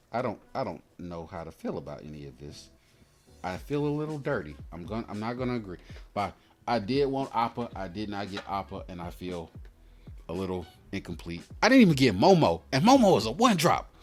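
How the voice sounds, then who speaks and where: A man giving casual, rambling commentary as host while opening card packs, slangy and joking with mock-aggrieved asides. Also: fairly low pitch. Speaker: host of a trading card pack-opening show.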